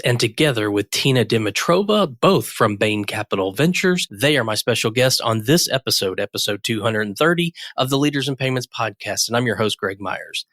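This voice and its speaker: A man's voice: medium pitch, fairly bright tone, dry recording. Male podcast host in a dry booth. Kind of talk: hosted interview podcast.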